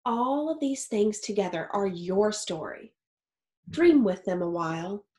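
A woman in her thirties reading a picture book aloud like a teacher, expressively and with deliberate phrasing for young listeners. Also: mid-range voice, expressive, a little mellow. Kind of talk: expressive children's read-aloud. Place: small home office or study with wooden shelves. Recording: roomy, echoey indoor sound.

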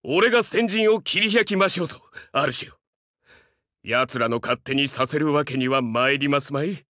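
A man's voice: a little high, moderate pace, bright, forceful tone, dry recording, clean studio sound. A man voicing a young, fiercely loyal dog-man samurai in a Japanese game, speaking earnestly in formal, archaic warrior speech with dramatic delivery. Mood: resolute.

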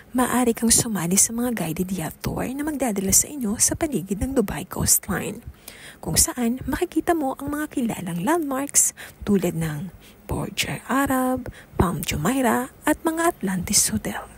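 A young woman from the Philippines narrating a travel and food vlog in a soft, half-whispered voice-over. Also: mid-range voice, slight background noise. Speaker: vlogger.

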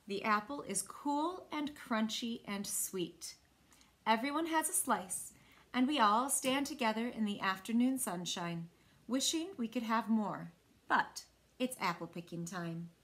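Higher-voiced American woman reading a children's story. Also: animated, a little slow, a bit bright. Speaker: storyteller reading a picture book. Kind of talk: children's read-aloud storytelling. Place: small indoor room.

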